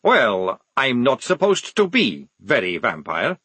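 A man's voice: medium pitch, huge pitch swings, slow, bright, forceful tone, slightly rough, dry recording, exaggerated voice. A man voicing an edgy young vampire soldier with a wry, jittery manner in an audiobook.

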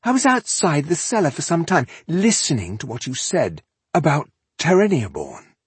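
A man's voice: fairly high pitch, big pitch swings, moderate pace, breathy edge, no room sound, pitched above the performer's own voice, exaggerated voice. A man voicing a suave, cruel elf-like warlord in a fantasy audiobook, velvety and mockingly polite in a conversational way. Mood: conversational, self-satisfied.

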